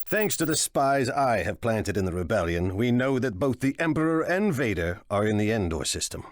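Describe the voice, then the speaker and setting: An actor playing a cunning, ruthless crime lord in a video game, speaking in a measured, condescending and deliberate way. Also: male voice, on the low side, very animated, no room sound, clean studio sound. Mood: calculating, commanding. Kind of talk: dramatic video game voice acting.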